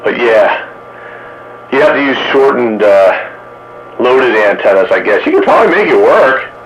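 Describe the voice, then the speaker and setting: A man's voice: mid-range voice, expressive, moderate pace, muffled recording. A man hosting a radio hobbyist net, talking casually. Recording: static noise over radio.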